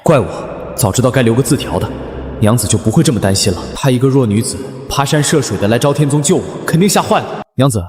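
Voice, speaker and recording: An actor playing a young husband who hides great power behind a harmless front, in a dramatized story. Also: male voice, medium pitch, expressive, quick pace, a little mellow, breathy edge, no echo. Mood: gentle, soothing. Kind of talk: dramatized fiction voice acting.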